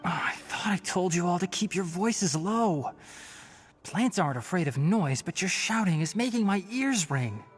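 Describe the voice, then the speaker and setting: A man playing a brisk, exacting young forest ranger scholar in a fantasy game, articulate and dryly impatient. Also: high-pitched, lively intonation, faint music behind. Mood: exacting, annoyed. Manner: articulate, matter-of-fact, lecturing. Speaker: voice actor in a fantasy video game. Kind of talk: scripted game dialogue.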